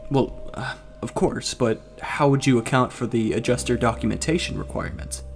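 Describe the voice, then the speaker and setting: An actor playing a sadistic, gleeful financier in an audio drama, talking in a theatrical, gloating way. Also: male voice, mid-range voice, moderate pace, vocal fry, faint music behind, natural voice. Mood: hesitant, curious. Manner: theatrical, gloating, often breaking into giggles and cackles. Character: gleeful sadistic male financier who tortures as a hobby.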